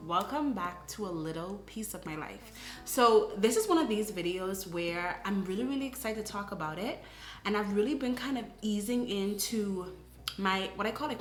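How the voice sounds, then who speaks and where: Woman, 30s, earnest vlogger, mid-pitched voice, echoey room. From Bahamas. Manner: reflective, earnest, frequent "right?" asides. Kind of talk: casual personal storytelling.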